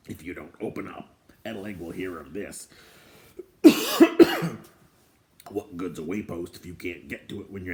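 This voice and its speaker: Impatient bossy dwarf with a low, dark growl, voiced by a male narrator. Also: slight background noise. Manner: snappish, blustering.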